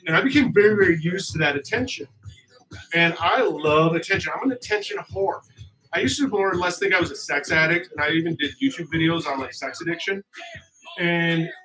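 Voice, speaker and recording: A man chatting in a loose, rambling way, his voice sitting a little high for a man. He talks candidly and jokes around. Clear music plays steadily under his voice. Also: fairly bright tone, poor audio. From Michigan. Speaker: co-host of a couple's channel. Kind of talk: casual chat on a live stream.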